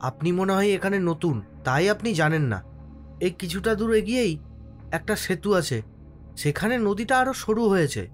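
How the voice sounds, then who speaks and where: A man's voice: high-pitched, lively intonation, moderate pace, soft background music. An actor voicing an earnest, frightened village boatman insistently telling a ghost tale in a horror cartoon. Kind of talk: cartoon horror story voice acting.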